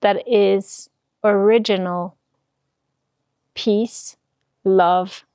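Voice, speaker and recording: A woman guides a meditation at an extremely unhurried pace. She sets down short phrases deliberately and soothingly, leaving pauses between them. Her medium-pitched voice sounds close and dry, with no room echo. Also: fairly even intonation, warm tone, clean tone. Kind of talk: guided meditation, spiritual talk.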